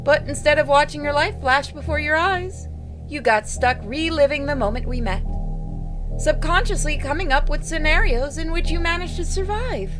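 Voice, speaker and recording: Girl doing a high voiced evil-ish cat from a horror game, smug n teasing, music behind it. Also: normal speed, natural voice. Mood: smugly indulgent. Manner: teasing, theatrical, leisurely condescension. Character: playful, smug, predatory supernatural cat, teasing its prey.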